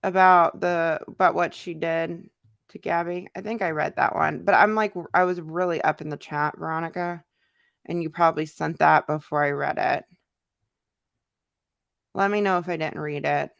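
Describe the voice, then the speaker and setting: A woman's voice: low voice, fairly even intonation, moderate pace, vocal fry, a bit bright, no room sound. An American woman hosting a casual livestream, talking conversationally in loose fragments as she responds to viewers. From United States. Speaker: livestream host, YouTuber. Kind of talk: casual livestream chat, answering viewer comments.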